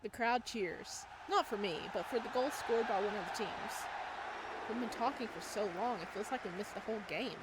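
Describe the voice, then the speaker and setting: A woman's voice: a little high, normal speed, background noise. A woman reading a visual novel aloud expressively as a let's-player, with casual asides, on a close home microphone.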